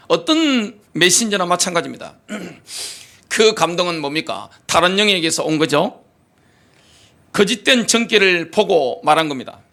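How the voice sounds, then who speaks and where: A man's voice: high voice, sharp tone. A middle-aged man preaching a sermon as pastor of a church, emphatic, asking the congregation rhetorical questions. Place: church sanctuary.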